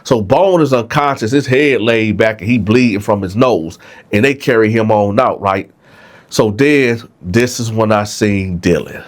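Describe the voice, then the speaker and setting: Male vlogger, lowish voice, stuffed up, casual storytelling. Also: measured pace. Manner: conversational, keeps asking "right?". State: stuffed-up nose, congested. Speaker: vlog host.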